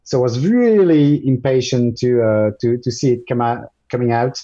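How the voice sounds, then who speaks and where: A man whose first language is French walks through a tutorial on a video call. His voice is mellow and soft-edged, and the audio sounds muffled. He speaks haltingly, with frequent ums and restarts. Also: mid-range voice. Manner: hesitant, many ums and restarts. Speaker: guest presenter. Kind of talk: live screen-share tutorial.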